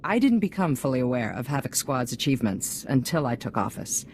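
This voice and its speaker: A woman's voice: extremely low pitch, big pitch swings, fairly quick, darkish tone, a little hiss or hum, exaggerated voice. An actress playing a poised, self-assured female head of a galactic government in a video game, with a stately, polished political delivery. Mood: gracious, formal. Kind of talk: video game cutscene voice acting.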